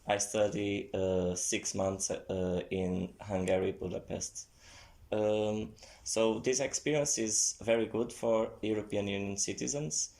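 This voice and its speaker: A man speaking English as an as a podcast guest, hesitant with many pauses. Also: on the low side, steady tone, vocal fry, darkish tone. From Portugal. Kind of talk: casual chat.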